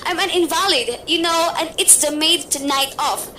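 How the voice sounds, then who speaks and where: Radio-play role: a fretful, demanding bedridden lady, read by a woman, high and shrill. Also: moderate pace, pushed and bright, a little hiss or hum. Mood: frantic insistence. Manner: shrill, impatient, fretful pleading. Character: nervous bedridden wealthy woman, demanding and frantic. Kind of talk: amateur radio play acting.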